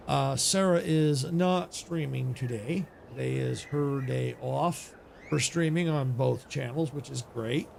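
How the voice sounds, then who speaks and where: A man streamer chatting casually as he wraps up his stream, rambling with many restarts, his voice getting raspy. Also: mid-range voice, a little hiss or hum. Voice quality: gravelly. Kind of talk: casual stream sign-off chat.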